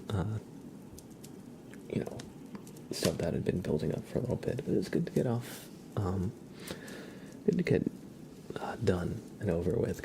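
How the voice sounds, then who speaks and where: Low, gravelly man murmuring ASMR. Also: noisy background. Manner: murmuring, often slipping into whispers. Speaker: livestream host. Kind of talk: soft-spoken ASMR livestream.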